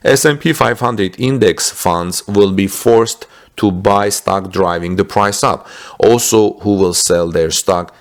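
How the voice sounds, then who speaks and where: A man presenting business news, reading from a script with asides and sometimes halting over his words. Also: lowish voice. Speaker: host reporting business news.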